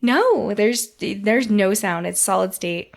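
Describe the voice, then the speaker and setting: A woman's voice: mid-range voice, expressive, slow pace, gravelly. Chatty woman in casual tech talk. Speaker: podcast co-host.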